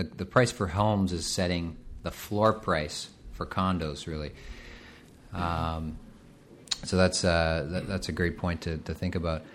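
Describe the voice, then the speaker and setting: A man's voice: low-pitched, slight background noise. A man hosting a podcast, asking questions in a casual, hesitant way with many fillers. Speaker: podcast host. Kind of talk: podcast interview.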